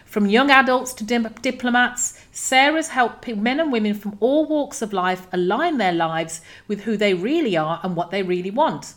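A woman podcast host reading a scripted introduction of her guest in a warm, promotional way. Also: fairly high pitch, animated, normal speed, hardly any pauses.

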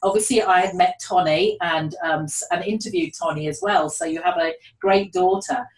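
A woman's voice: fairly low pitch, animated, moderate pace. A woman hosting an interview in a courteous, affirming manner, often repeating her phrases as she talks. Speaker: interviewer, host. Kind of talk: conversational interview. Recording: echoey room, distant from mic.

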